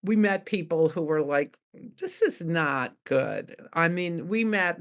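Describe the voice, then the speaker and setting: A woman in her seventies from the New York suburbs, a rabbi telling her story in a thoughtful, wry way as a podcast guest. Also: sits low. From United States (New York suburbs). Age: 70s. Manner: thoughtful, laughs wryly while talking. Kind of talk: reflective conversational storytelling.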